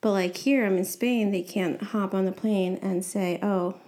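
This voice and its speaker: A woman's voice: medium pitch, steady tone, unhurried, dark tone. A woman from New York, a guest on a podcast, talking in a casual, earnest way.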